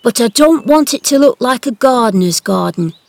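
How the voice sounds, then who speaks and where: A woman voicing a cheerful, gentle country boy who loves animals, with louder, brighter delivery than her narration. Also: on the high side, lively intonation, normal speed, vocal fry, no room sound, voice pushed up. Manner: warm and gentle.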